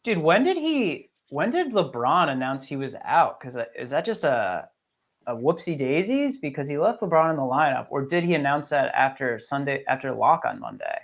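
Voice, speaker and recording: A man's voice: fairly high pitch, wildly swooping pitch, moderate pace, creaky. A man co-hosting a fantasy sports show with casual, wry, joking banter.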